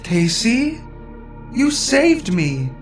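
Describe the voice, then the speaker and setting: A man's voice: very high-pitched, big pitch swings, very slow, reverberant, far from the mic, faint music behind, voice pushed up, heavily acted. A man voicing a frightened young robot girl in an audio story, whimpering and pleading with melodrama. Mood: breathless relief.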